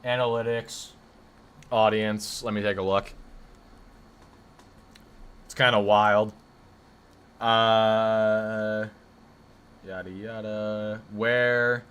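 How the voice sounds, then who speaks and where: Deep-voiced young man chatting on stream. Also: little pitch movement, drawn-out words, pauses often, a bit bright, clean tone. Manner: rambling, joking, defensive banter with chat. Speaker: livestream host. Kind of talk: casual live stream chat, reading chat aloud.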